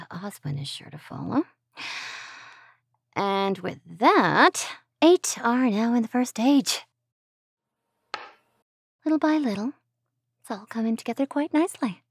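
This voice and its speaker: Woman voicing a villainous mistress, mocking, theatrical, lively pitch, slow delivery, dry close mic. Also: slightly rough, no echo, exaggerated voice. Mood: commanding. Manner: theatrical, condescending, laughing.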